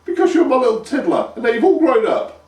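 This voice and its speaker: A man's voice: very high-pitched, big pitch swings, quick pace, a little mellow, recorded from a distance, pitched above the performer's own voice. A man doing a flustered peasant mother. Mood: flustered fond. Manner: flustered, stammering. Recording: echoey room, voice from afar.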